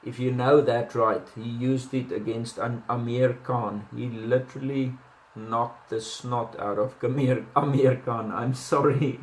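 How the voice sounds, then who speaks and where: A man's voice: medium pitch, fairly even intonation, measured pace, echoey room, poor audio. A man with a South African accent giving casual boxing commentary, chatty, with many ums and restarts and self-deprecating asides.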